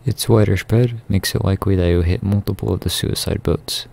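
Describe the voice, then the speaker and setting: A man reading a scripted video game guide in a measured, instructional way. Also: low voice, steady tone, vocal fry, a little mellow. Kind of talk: scripted guide narration over gameplay.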